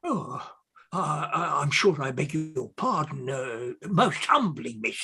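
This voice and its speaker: Husky clerk in a radio comedy, servile, melodramatic, voice swooping all over. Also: male voice, sits high, huge pitch swings, measured pace, fairly bright tone, full character voice. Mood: fawning, apologetic. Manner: melodramatic, exclamatory, obsequious toward betters. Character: indignant, fawning male clerk, servile and excitable. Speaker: actor in a comic play. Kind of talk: theatrical radio comedy acting.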